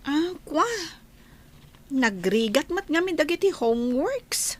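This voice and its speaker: A woman's voice: high voice, very animated, takes it slowly, exaggerated voice. A woman with an Ilocano Filipino accent acting a giddy, lovestruck, defiant schoolgirl daughter in a radio comedy drama. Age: young adult.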